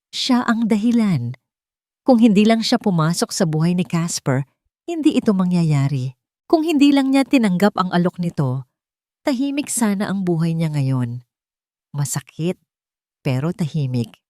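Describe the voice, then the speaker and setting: A woman narrates a scripted romantic drama as a storyteller. Her voice is on the low side, and the recording is dry, with no room sound around it. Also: big pitch swings, a little slow, pauses often, mellow, dry recording.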